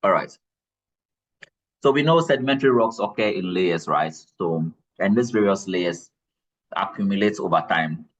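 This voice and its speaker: A man's voice: low voice, lively intonation, normal speed, rough recording. A man giving a geology lecture to students, repeating key points and checking in with "all right?". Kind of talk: classroom lecture.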